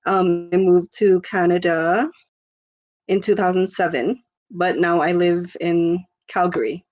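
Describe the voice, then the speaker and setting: A woman's voice: on the low side, fairly even intonation, unhurried, darkish tone, lo-fi sound. A woman in her twenties from the Philippines, a podcast guest chatting casually with lots of fillers and easy laughter. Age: 20s. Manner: rambling, filler-heavy, laughs easily. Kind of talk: casual chat.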